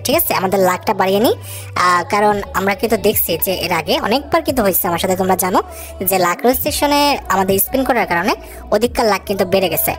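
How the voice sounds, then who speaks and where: A woman with a Bangladeshi accent hosting a gaming video, chatting casually to viewers as she comments on her gameplay. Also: medium pitch. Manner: chatty, addresses viewers directly with casual asides. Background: background music.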